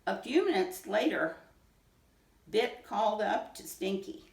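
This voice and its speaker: Animated woman reading a storybook, roomy sound. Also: medium pitch, vocal fry. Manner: pauses to point out pictures. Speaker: children's read-aloud reader. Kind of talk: expressive storybook narration. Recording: roomy echo, distant microphone.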